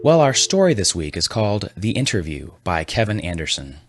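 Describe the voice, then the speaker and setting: A man's voice: sits low, sing-song, a little fast. A man hosting a scripted comedy podcast with a wry, mock-serious delivery. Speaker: podcast host.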